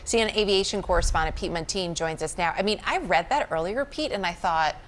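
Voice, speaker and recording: A woman's voice: fairly low pitch, lively intonation, fairly quick, fairly bright tone. An American woman anchoring the news in a conversational style, addressing correspondents.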